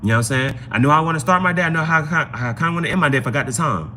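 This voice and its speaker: A man's voice: fairly high pitch, expressive, very fast, bright, forceful tone, slight background noise. A man hosting a casual live tarot reading, giving advice conversationally and often repeating his phrases. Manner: conversational, repeats phrases and adds "you know what I'm saying".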